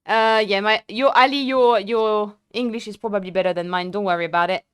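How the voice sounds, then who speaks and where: A young woman streamer chatting casually and excitedly with viewers, full of fillers and restarts. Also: mid-range voice, brisk, smooth, dry recording, clean studio sound. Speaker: sim racing streamer. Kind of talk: casual live-stream chat.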